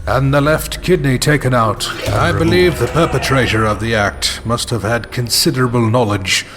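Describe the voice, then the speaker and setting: A male actor plays a formal, clinical expert witness in a horror radio play. His voice sits at a middling pitch and is precise and grave, with music clearly playing underneath. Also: normal speed, lightly acted. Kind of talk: radio horror drama acting. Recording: music over the voice.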